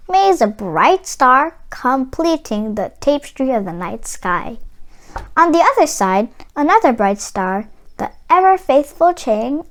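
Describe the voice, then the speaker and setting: A woman narrating a children's storybook as an expressive read-aloud. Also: high voice. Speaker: storybook reader.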